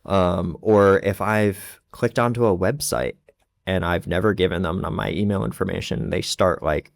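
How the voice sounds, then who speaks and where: A man chatting as a podcast guest, blunt and animated, leaning on filler words. His voice sits a little low. The mic is close and dry. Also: no echo. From not from the Czech Republic. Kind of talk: casual podcast chat. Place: lives in Prague.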